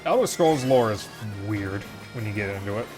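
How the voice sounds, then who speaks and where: A man's voice: lowish voice, lively intonation, normal speed, music in the background. A man with a Chicago accent chatting while gaming, explaining game lore in detail. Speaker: streamer. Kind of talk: casual gaming chat.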